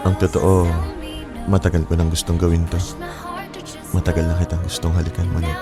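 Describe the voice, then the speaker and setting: Deep-voiced earnest young Filipino suitor. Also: male voice, completely flat delivery, music in the background. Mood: tender, sincere. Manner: sincere, halting. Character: earnest, apologetic young man in love, Jano. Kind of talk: Filipino radio romance drama acting.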